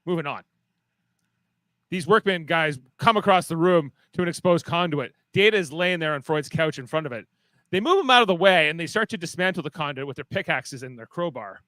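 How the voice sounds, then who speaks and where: A man's voice: fairly high pitch, animated, brisk, bright, forceful tone, no echo, rough recording. A man narrating stage directions for a fan radio play in a casual, wry way.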